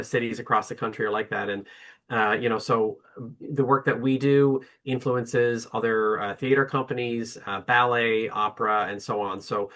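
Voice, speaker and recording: A man's voice: mid-range voice, fairly bright tone. A man speaking on a webinar panel about his orchestra, hesitant, with many fillers and restarts. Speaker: orchestra representative.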